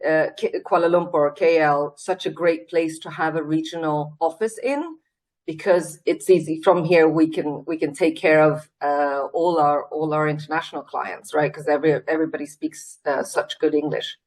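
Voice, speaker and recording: A woman's voice: low voice, steady tone, normal speed, a little mellow, rough recording. A Swedish-born woman, a CEO, talking in a casual interview over a video call, earnest and reflective with many fillers and restarts. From Sweden.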